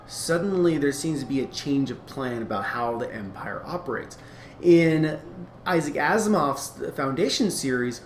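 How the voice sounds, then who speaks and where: A man giving a casual, informal explainer, talking in a halting, rambling way and often correcting himself. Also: a little high. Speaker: fan-theory video creator. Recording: echoey room, clear background noise.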